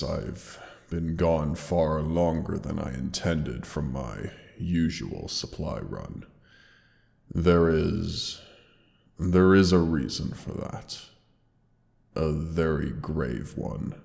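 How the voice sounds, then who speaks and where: A man voicing a wounded, dying ancient dragon who speaks to his beloved with slow, weary tenderness. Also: big-room sound, full character voice. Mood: tender, pained. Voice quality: deep gravelly voice. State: wounded, pained. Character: dying ancient male dragon, gentle and loving. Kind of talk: audio roleplay drama.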